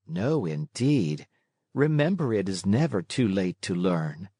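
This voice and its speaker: A man voicing a motherly fairy woman in a storybook, tender and measured, kind but firm as she moralizes. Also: deep voice, very animated, warm tone, husky, no room sound, clean studio sound, exaggerated voice. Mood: gently lecturing.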